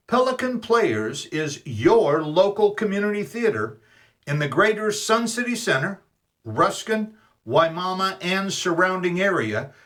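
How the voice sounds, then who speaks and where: A man's voice: high voice, fairly bright tone. A man working as an announcer, reading a scripted promotional message.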